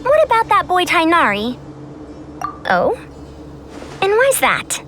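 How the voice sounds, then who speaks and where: A woman's voice: very high-pitched, wildly swooping pitch, slow pace, sharp tone, slight background noise, heavily acted. A woman playing a self-assured, haughty scholar in a video game, with a youthful voice and pompous, old-fashioned phrasing. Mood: proud, curious. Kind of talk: English video game voice acting.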